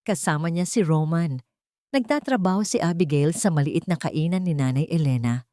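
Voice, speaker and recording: Low-voiced woman narrating a dramatic story. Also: expressive, moderate pace, warm tone, no room sound, studio quality. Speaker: audiobook narrator.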